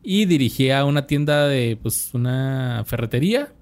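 A man's voice: mid-range voice, lively intonation, moderate pace, a little mellow. A young adult man with a Mexican accent, casually reading out a biography on a podcast with slangy asides. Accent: Mexican Spanish. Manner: laid-back, slangy, reads from notes with restarts. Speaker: podcast host.

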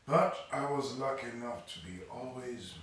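An actor playing a wistful, resigned ageing doctor who muses offhandedly in an audio drama. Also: male voice, medium pitch, fairly bright tone, natural voice. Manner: slow, musing, offhand. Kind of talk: naturalistic audio drama dialogue. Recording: echoey, distant, noisy room recording.

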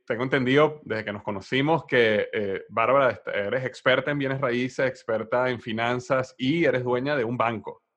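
A man's voice: mid-range voice, moderate pace, few pauses, a bit bright. A man hosting a conversational podcast interview, feeling his way into questions with repeated words. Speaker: podcast host.

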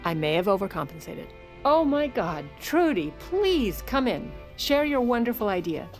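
A woman's voice: mid-range voice, big pitch swings, moderate pace, faint music behind. An actress playing a shrewd, protective First Lady who narrates her own story in an audio drama with a wry, commanding manner. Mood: gushing, wry.

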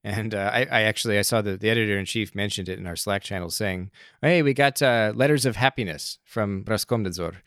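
Guest editor, man, low voice, measured and hesitant, dry studio sound. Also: fairly bright tone, dry recording. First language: not Russian, speaks English. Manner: thoughtful, hesitant, with frequent restarts and "you know". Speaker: managing editor of a news website, guest. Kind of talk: radio interview answers.

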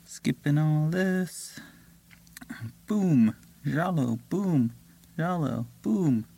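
A man's voice: on the high side, drawn-out words, vocal fry, mellow, slightly rough. A male voice actor muttering to himself and chuckling between recording takes. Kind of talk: recording-session outtakes.

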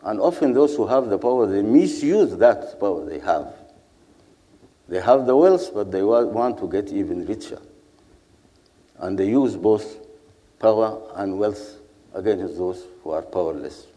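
A man speaks on a panel in a low, dark, mellow voice. He is earnest and rhetorical and builds his case in repeated lists. His words ring out with the long reverb of a large hall. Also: lively intonation, unhurried. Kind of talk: formal panel speech.

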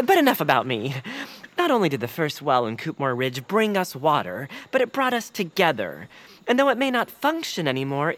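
A naive young cartoon creature for a family audio drama. He sounds earnest and easily flustered, with a lively, expressive range. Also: sits high. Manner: earnest and animated.

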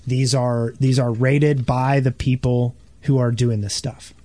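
A man's voice: mid-range voice, little pitch movement, a little slow, dark tone, a little hiss or hum. A man co-hosting a casual gaming podcast, often restarting and repeating his phrases as he makes a point. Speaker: podcast co-host.

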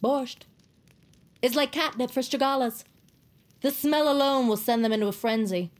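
A woman playing an eccentric, bitter, reclusive novelist with a grand, deliberate, wordy manner in an audio drama. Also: high-pitched, lightly acted. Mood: grave, dramatic. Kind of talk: scripted audio drama acting.

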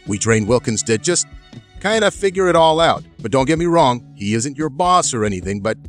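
A man's voice: mid-range voice, sing-song, fairly quick, soft background music, close to an everyday voice. A man playing a brash, foul-mouthed tech company boss giving an orientation video in an audio drama, with breezy, slangy bro talk. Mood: breezy, flippant.

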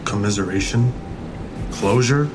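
A man's voice: on the low side, unhurried, over music, lightly acted. An actor playing a wounded, hot-tempered ex-husband and grieving father in an audio drama, with an emotional, volatile delivery. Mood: wounded, pleading. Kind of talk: full-cast audio drama dialogue. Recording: clearly noisy outdoor ambience.

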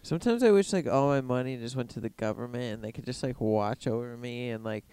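A man's voice: medium pitch, fast talker, dark tone, clear, resonant tone, dry recording. A man co-hosting a comedy podcast, riffing in casual, slangy banter. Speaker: podcast co-host.

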